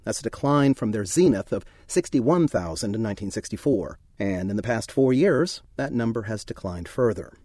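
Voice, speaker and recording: Male academic, scripted radio commentary, husky, lively intonation. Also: lowish voice, moderate pace, few pauses, dry recording. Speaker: professor.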